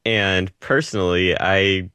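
Deep-voiced male illustrator, dry and close. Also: fairly even intonation, takes it slowly, sharp tone, clear, resonant tone, no echo. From Rhode Island, New England. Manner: easygoing, enthusiastic, laughs between phrases. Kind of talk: radio interview guest.

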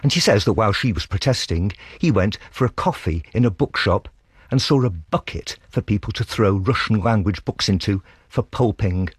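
A man working as a foreign correspondent, reading a scripted first-person radio dispatch in a measured, literary storytelling manner. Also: lowish voice, slightly rough, no room sound.

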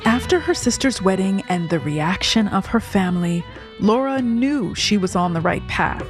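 Woman narrating a documentary over soft music. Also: medium pitch, expressive, moderate pace. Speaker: narrator and host.

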